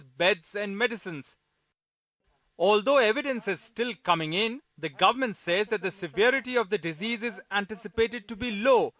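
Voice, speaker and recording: A man's voice: high voice, a bit bright. A man delivering a scripted news report as a reporter.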